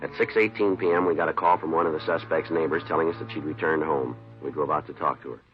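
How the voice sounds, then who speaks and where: An actor playing a terse police detective in a 1950s radio crime drama, clipped and matter-of-fact, on a dull vintage recording. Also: male voice, a bass voice, fairly even intonation, fast, soft background music. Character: terse, businesslike male police detective, narrating his case.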